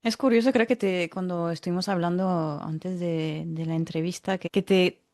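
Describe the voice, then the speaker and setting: A woman from France with French as her first language, pitched a little low. She hosts a conversational interview, feeling her way through sentences with repetitions and detours. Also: steady tone, darkish tone. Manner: halting, roundabout phrasing with repetitions. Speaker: interviewer, podcast host.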